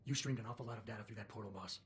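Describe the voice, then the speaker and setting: An actor playing a terse, guarded augmented security chief in a video game, with deadpan, clipped delivery. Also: male voice, on the low side, racing, a little hiss or hum, natural voice. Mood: suspicious, probing. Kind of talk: video game cutscene acting.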